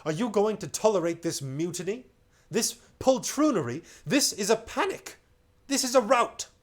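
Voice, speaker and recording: A man's voice: mid-range voice, big pitch swings, a little slow, a little mellow. A man voicing a small, proud talking mouse knight who speaks in a stiff, indignant, formal way. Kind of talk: storybook reading.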